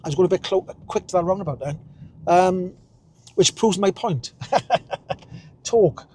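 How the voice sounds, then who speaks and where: Welsh man in his 50s, higher voice, rambling car-vlog chat, faint road hum behind. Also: measured pace, darkish tone. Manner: chatty, rambling, chuckles at himself. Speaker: vlogger. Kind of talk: casual driving vlog. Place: inside a car while driving.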